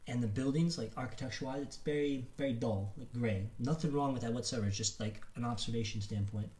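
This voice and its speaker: His voice comes with a clear room echo around it. He is a man of middling pitch hosting a podcast. He talks casually and loosely, circling back over his own phrases. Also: fast talker, a little mellow. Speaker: podcast host. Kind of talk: casual filmed podcast conversation. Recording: roomy, echoing sound.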